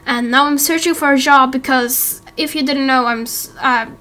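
A young woman in her late teens with a very high voice chats casually to her viewers. She rambles in a scattered, giggly way and often interrupts herself mid-sentence. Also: steady tone, moderate pace, pushed and bright. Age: late teens, young adult. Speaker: YouTube roleplay series creator and host.

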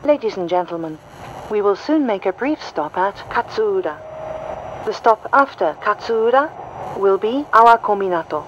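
A woman reading a scripted automated train announcement in a formal, measured and clearly phrased way. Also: mid-range voice, expressive. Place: played over a train's announcement system. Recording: muffled train speaker recording, cabin noise. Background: faint train running noise.

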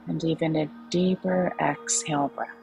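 A woman leading a guided meditation in a calm, soothing, unhurried way with long pauses. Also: low voice, creaky, rough recording. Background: soft background music.

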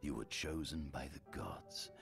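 A calculating imperial scientist in a video game drama. His very deep voice swings in pitch as he persuades with polished courtesy. Music plays behind him. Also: lively intonation, vocal fry, exaggerated voice. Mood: insinuating, persuasive. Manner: measured, courteous, insinuating persuasion. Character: calculating male imperial scientist-chief, smooth, persuasive, scheming. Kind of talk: dramatic game cutscene acting. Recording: music playing behind dialogue.

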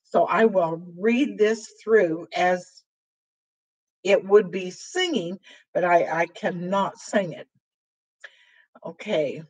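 An older woman teaching sign language, explaining signs slowly with a voice worn hoarse after surgery, filling pauses with ums. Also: on the low side, rough recording. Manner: slow, halting, with frequent fillers while signing. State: voice weakened and hoarse after throat intubation. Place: small room with dark curtain backdrop, indoors.

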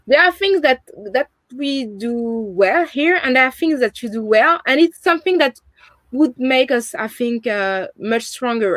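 A woman's voice: high voice, dry recording. Earnest French-accented young woman. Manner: earnest, frequent restarts and "you know". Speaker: interview guest. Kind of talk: video call conversation. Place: home room, indoors. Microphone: video call.

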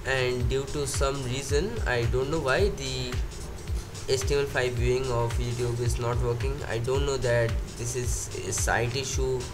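A man's voice: medium pitch, fairly even intonation, normal speed. A man with an Indian accent casually walking through a smartphone's features, thinking aloud and correcting himself as he goes. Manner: unscripted, thinking aloud with fillers and self-corrections. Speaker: tech video host. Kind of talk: casual screen-recorded tech walkthrough. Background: background music.

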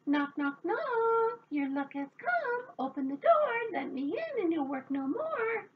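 Woman playing bossy high-pitched fairies, sing-song and insistent. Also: sing-song, measured pace, phone-quality sound, exaggerated voice. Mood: eager, insistent. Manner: sing-song calling in repeated chants.

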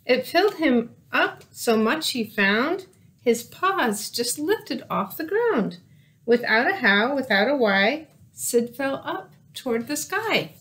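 Female storybook reader, forties: high voice, slow careful pacing, expressive read-aloud delivery. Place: small room indoors by windows.

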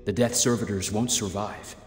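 Man acting a low-voiced, solemn clan leader. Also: fairly even intonation, natural voice. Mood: commanding, focused. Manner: formal, measured, stoic. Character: solemn young male underworld clan leader, dutiful, grave. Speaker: video game voice actor. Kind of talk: dramatic game cutscene acting. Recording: reverberant game audio with music behind. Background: music.

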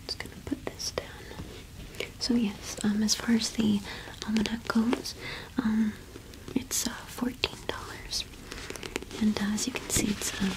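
Casting: young woman, ASMR roleplay, soft whisper at close mic, very unhurried delivery. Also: a little high, poor audio. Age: young adult. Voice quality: breathy whisper. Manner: slow, gentle, unhurried. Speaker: ASMR roleplay performer playing a shopkeeper witch. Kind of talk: ASMR roleplay, casual shop chat. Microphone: close microphone.

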